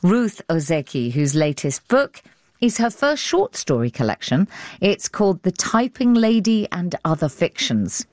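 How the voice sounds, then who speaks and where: A woman with a British accent hosting an arts radio show, speaking in an expressive, chatty presenting style. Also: lowish voice, measured pace, no echo, studio quality.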